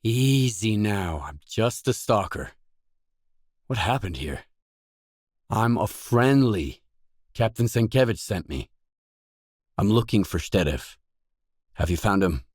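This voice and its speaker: An actor voicing a gruff, guarded lone stalker for hire in a video game, speaking in terse, dry, self-assured lines. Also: male voice, on the low side, a little mellow, dry recording. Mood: calm, firm, then urgent, pressing.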